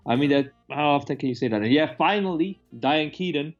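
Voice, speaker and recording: A man's voice: fairly high pitch, expressive, brisk, muffled recording, faint music behind. A man chatting casually about films in a rambling, opinionated way, with some profanity. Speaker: movie review host. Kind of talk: casual film review chat.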